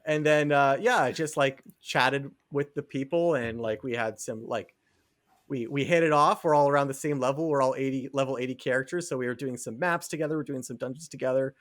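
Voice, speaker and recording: A man co-hosts a casual podcast in a mid-pitched voice. He rambles conversationally, leaning on filler words as he goes. The sound is dry and close. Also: brisk, fairly bright tone, dry recording. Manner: rambling, filler-heavy conversational delivery with frequent "like". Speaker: podcast co-host. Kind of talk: casual chat.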